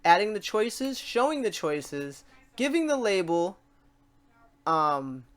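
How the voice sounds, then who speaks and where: Casual tutorial host thinking out loud. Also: medium pitch, very animated, takes it slowly. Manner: informal, talks self through steps.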